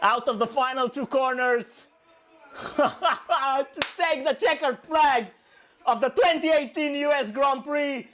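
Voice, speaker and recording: Hoarse man, high voice, slow delivery; excitable live race reactor who repeats himself. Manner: excitable, shouting at overtakes, repeating phrases. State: hoarse, losing his voice.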